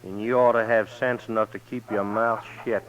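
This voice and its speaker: Menacing low-voiced gunslinger, played by a man, muffled old radio. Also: flat delivery, normal speed, fairly bright tone, natural voice. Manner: tough, threatening drawl with folksy Western grammar. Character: cold, menacing gunslinger drifting into a frontier town. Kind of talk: old-time radio western acting. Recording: dull vintage radio recording.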